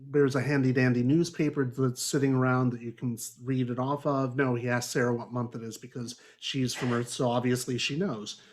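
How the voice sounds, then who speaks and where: A man's voice: medium pitch, little pitch movement, brisk, hardly any pauses. A man hosting a casual book club discussion, opinionated and wry with exasperated asides. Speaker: podcast discussion host.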